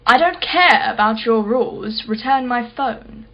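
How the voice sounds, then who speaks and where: Radio drama casting: wealthy female collector played by a woman, higher-pitched, imperious, sardonic and sceptical, slightly muffled recording. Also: expressive, measured pace. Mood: indignant. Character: haughty, sharp-tongued wealthy female art collector, sceptical. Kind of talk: radio play acting.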